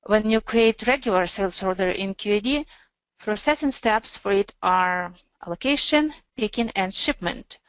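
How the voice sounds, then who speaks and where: Female webinar presenter, mid-pitched, measured step-by-step software walkthrough, close and dry with slightly dull audio. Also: dry recording. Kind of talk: instructional software demo narration.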